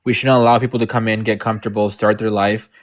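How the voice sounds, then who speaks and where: A man's voice: on the low side, flat delivery, quick pace. Argumentative young man debating. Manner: argumentative, mocking impressions, swearing. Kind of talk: heated political debate.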